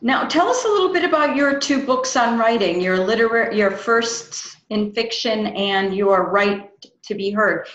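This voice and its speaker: Middle-aged female interviewer, casual and somewhat slow-paced, on a roomy computer-mic call. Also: on the high side, lively intonation, a bit bright. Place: small room at home, on a video call. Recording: roomy, dull video-call audio.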